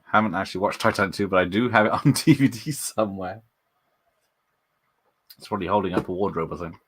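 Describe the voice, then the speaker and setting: A man's voice: deep voice, quick pace, breathy edge. A man hosting a casual live watch party, rambling and chatting about films, laughing often and answering his viewers. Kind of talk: casual live movie commentary.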